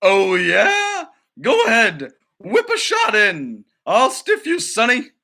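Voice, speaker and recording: A man's voice: very high voice, wildly swooping pitch, takes it slowly, bright, forceful tone, pitched above the performer's own voice, heavily acted. A man voicing a feisty, defiant old female moose, a sporty grandmother in a storybook.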